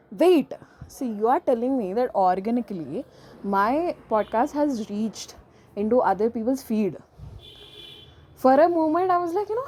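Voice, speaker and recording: Solo podcast host, young woman, Indian accent, fairly high mellow voice, loose rambling delivery. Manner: rambling and chatty, full of filler phrases. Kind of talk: casual solo podcast chat. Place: at home.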